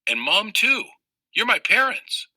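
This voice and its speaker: Man reading as an anxious child, lively pitch. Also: unhurried, no room sound, exaggerated voice. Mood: pleading. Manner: earnest, pleading. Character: anxious, questioning young child narrator of a novel.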